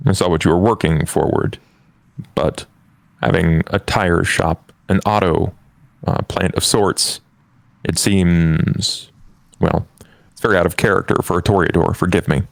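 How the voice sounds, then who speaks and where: Male vampire henchman played by a man at a game table: bass register, vocal fry, polite, doubtful, measured delivery. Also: steady tone, no echo. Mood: hesitant, doubtful. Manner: measured, formal, hesitant phrasing.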